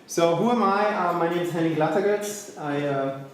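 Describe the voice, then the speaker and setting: A man from Austria, giving a tech talk to a meetup crowd in English, halting, with many fillers and restarts, and a joking, self-deprecating manner. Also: on the high side. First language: German. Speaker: conference speaker. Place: large echoey hall with a crowd. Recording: very echoey hall, faint noise.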